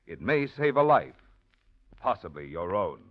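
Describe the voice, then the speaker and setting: A man's voice: low voice, sing-song, unhurried. Polished old-time radio announcer, man. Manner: polished, smooth, persuasive. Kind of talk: 1940s radio sponsor announcing. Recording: dull old radio recording with music.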